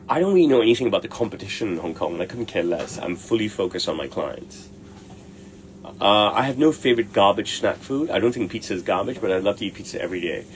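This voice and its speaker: A brash middle-aged man talks bluntly in a low voice and swears without a second thought. He answers viewer questions in a loose, casual way, and faint room noise hums under him. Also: rough recording. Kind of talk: casual livestream Q&A.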